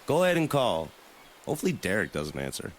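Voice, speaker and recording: An actor playing an exasperated, hot-tempered, sarcastic boat business owner in an audio drama. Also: male voice, on the low side, fast, a little hiss or hum. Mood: resigned, worried. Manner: animated, exclaiming, sarcastic.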